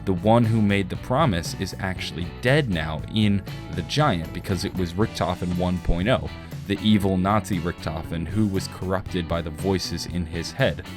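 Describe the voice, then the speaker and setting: Man, deep-pitched, explanatory, scripted video narration, plucked guitar music behind. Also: normal speed. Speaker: video game lore YouTuber.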